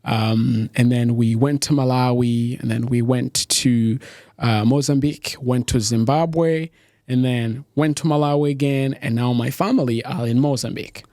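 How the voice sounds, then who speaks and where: A young man from Tanzania, a podcast guest talking casually, full of restarts and quick laughs. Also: medium pitch, steady tone, warm tone, dry recording, studio quality. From East Africa, born in Tanzania. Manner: halting, many restarts and "like", laughs easily. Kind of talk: casual interview chat.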